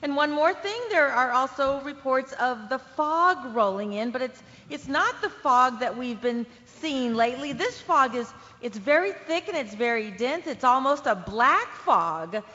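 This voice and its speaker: A high-pitched female traffic reporter voiced by an actress delivers an uneasy, emphatic radio bulletin. The sound is dull and washed in long reverb, like a radio drama. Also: lively intonation, measured pace, few pauses, sharp tone, heavily acted. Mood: uneasy, insistent.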